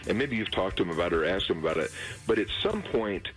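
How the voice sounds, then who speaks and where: Middle-aged man on radio, slightly raised pitch. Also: expressive, soft background music, low-quality recording. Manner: halting, repeats words as he goes. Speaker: radio sports contributor. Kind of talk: casual radio chat.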